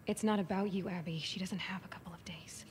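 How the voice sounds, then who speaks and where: Actress as a calm, clinical field medic. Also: female voice, lowish voice, a little hiss or hum, lightly acted. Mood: grave. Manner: clinical, measured. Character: pregnant woman field medic, calm and firm.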